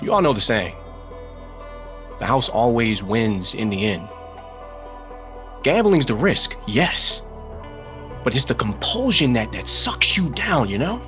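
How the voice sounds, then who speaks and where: An actor playing an earnest, weary recovering gambling addict in a table read, speaking in a halting, confessional way. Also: male voice, mid-range voice, very animated, a bit bright, faint music behind. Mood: sober, grateful. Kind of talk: screenplay table read acting.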